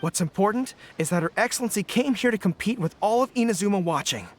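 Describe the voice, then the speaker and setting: A man's voice: high-pitched, expressive, fast talker, a little hiss or hum. A man voicing a sincere, loyal retainer in a video game, speaking formally and respectfully, with music behind him. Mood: earnest. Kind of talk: video game dialogue acting.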